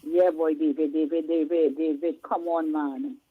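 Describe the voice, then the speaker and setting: A woman's voice: low-pitched, flat delivery, moderate pace, breathy edge, no room sound. A Trinidadian woman calling in to a radio show, talking in an emphatic, chatty way with rhetorical asides. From Trinidad and Tobago. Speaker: caller. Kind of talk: casual call-in chat. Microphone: phone. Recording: tinny phone line.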